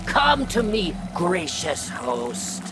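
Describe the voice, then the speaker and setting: A man's voice: high voice, big pitch swings, extremely slow, over loud music, heavily acted. A man voicing a sly, needy parasitic alien worm in a video game, speaking theatrically in clipped, broken phrases. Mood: sly, taunting. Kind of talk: video game character acting.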